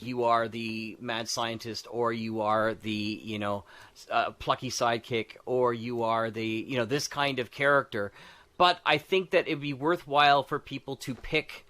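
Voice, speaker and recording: Bright-voiced man thinking aloud. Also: medium pitch, steady tone. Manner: halting, thinking aloud, often checks for agreement. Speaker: podcast co-host. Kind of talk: casual discussion of tabletop game design.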